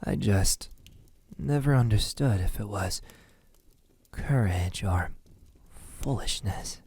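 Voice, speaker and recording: Guy doing a possessive vampire asmr thing, low soft mellow voice, halting and intimate, slips into whispers. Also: no echo, full character voice. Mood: menacing, mocking. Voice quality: breathy, husky, often dropping into whisper. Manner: slow, lingering, halting delivery. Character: possessive, lovesick male vampire captor. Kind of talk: ASMR roleplay, intimate dramatic monologue.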